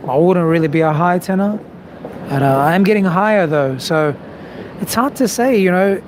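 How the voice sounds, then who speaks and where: A man's voice: high voice, moderate pace. A man, a vocal coach, chatting relaxedly on a live stream and answering viewer questions. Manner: relaxed, chatty, thinking aloud with fillers. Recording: noisy background.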